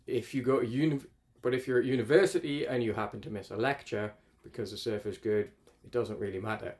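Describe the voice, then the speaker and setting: A man's voice: medium pitch, steady tone, fast, a little mellow. A man, talking casually as an interview guest in a rambling, self-correcting way. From northeast England. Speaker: climate researcher guest.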